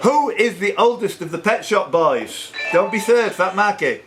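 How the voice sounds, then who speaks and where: A man's voice: high voice, expressive, normal speed, over music. A man hosting a live quiz, reading out questions and throwing in jokey, chatty asides. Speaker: quiz host and question reader. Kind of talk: live pub-style quiz reading with off-the-cuff banter. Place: sports and social club room. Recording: noisy live room recording.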